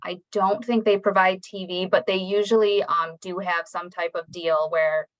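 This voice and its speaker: A woman's voice: on the low side, steady tone, normal speed, poor audio. A woman presenting and answering questions informally at a meeting, somewhat tentative as she explains. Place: meeting room.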